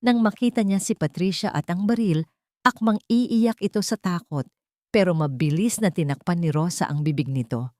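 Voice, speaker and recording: A woman's voice: on the low side, moderate pace, warm tone, dry recording, studio quality. A woman reading scripted dramatic fiction as an audiobook narrator.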